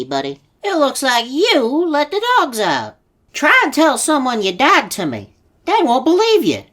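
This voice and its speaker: A woman's voice: fairly high pitch, fairly bright tone, full character voice. A woman voicing a smug, laid-back robot dog in a video game, with slangy taunts. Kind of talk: video game character voice lines.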